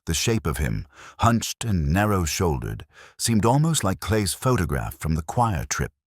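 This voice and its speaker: A man playing a brooding older detective inspector, narrating an audio drama in a measured, reflective, literary way, solemn and wry. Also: rumbling low, dry recording, studio quality, heavily acted. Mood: melancholy, wry.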